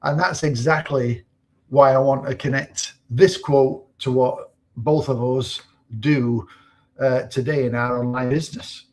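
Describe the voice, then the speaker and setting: A man from Manchester, co-hosting a live stream and explaining in a relaxed way. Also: mid-range voice, measured pace. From Manchester, England. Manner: relaxed, explanatory, slight restarts. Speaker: co-host. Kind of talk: casual live-stream chat.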